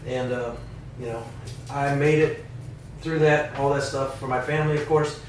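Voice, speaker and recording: A man's voice: mid-range voice, steady tone, normal speed. A man with a Wisconsin accent, an Army veteran, giving a plainspoken, self-deprecating and reflective introduction as a guest on a podcast. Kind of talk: casual personal introduction. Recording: echoey room, mic at a distance, noticeable background noise.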